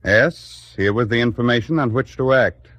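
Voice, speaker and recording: A man's voice: medium pitch, moderate pace, fairly bright tone, no echo. A man delivering solemn, rhetorical narration in the dramatic style of an old-time radio documentary drama.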